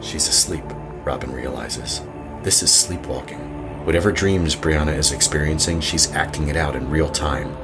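A man narrating a scripted horror story in a measured, suspenseful manner. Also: rumbling low, over music.

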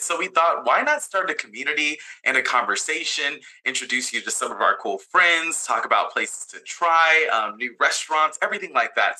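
Very bright, forceful American male podcast host. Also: medium pitch, low-quality recording. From Atlanta, Georgia, USA. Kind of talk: upbeat podcast hosting.

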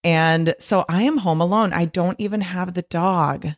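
A middle-aged woman hosting her podcast alone, her voice a little husky, talking in a chatty, rambling way with frequent laughs. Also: lowish voice, steady tone, measured pace. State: slightly husky from long recording. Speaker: podcast host and author. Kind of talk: casual solo podcast monologue.

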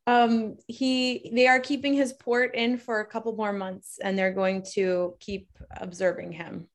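A woman co-hosting a podcast in a casual chat, who speaks warmly and gushingly, laughs easily, and uses frequent fillers. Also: medium pitch, flat delivery, unhurried. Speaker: podcast co-host.

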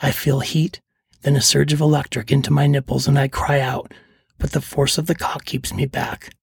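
A middle-aged man with a husky, gravelly voice, narrating scripted first-person stories as a podcast host. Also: medium pitch, level tone, moderate pace. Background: faint music.

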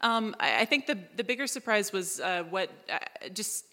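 A woman's voice: mid-range voice, big pitch swings, normal speed, pushed and bright. A woman speaking casually as a writer on a live Q&A panel, recorded in an echoey hall. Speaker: audio drama writer on a panel.

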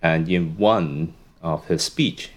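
Podcast guest: young male academic, very deep voice, casual, filler-heavy storytelling. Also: takes it slowly. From Hong Kong. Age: young adult. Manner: relaxed storytelling, frequent "um" and "you know". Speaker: guest, psychology professor.

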